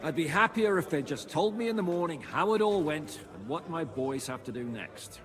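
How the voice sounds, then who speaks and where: An actor playing a plain-spoken, cynical military commander in a medieval video game, blunt and sardonic. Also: male voice, on the high side, very animated, normal speed, fairly bright tone, soft background music. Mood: sardonic, frank. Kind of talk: dramatic video game voice acting. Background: crowd.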